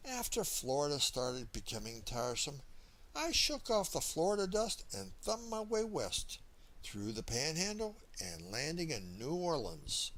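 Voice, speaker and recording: Animated male storyteller with swooping pitch. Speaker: audiobook narrator. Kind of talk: first-person memoir narration, storytelling read from a script.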